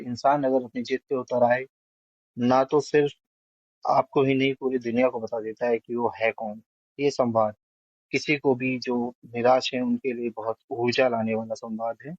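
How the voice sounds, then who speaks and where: A man's voice: medium pitch, flat delivery, moderate pace, dry recording. Man reviewing films, dull close recording. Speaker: film review panelist. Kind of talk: spoken film review, going point by point. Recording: dry, slightly dull lo-fi audio.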